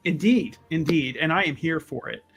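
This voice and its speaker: A man's voice: fairly high pitch, animated, creaky, over music. A man playing a courteous, inquisitive and very wordy scholarly visitor in an improvised tabletop roleplaying game. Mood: earnest, effusive. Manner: verbose, flowery, halting.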